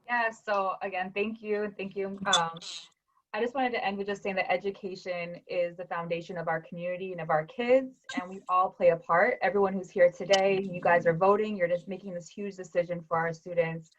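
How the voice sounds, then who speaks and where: A woman's voice: on the low side, steady tone, brisk, slight background noise. A woman school board candidate and founding principal giving an earnest closing statement over a video call. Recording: dull lo-fi sound.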